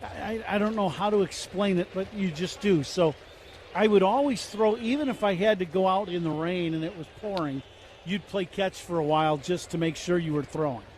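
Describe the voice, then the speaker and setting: Man, radio color commentator, high voice, rambling talk with fillers, faint background noise. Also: normal speed, darkish tone. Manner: rambling, filler-heavy analysis.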